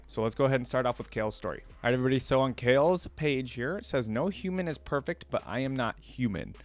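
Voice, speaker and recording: A man's voice: medium pitch, clean tone. A man hosting a reaction video, talking conversationally and thinking aloud as he comments and reads lore.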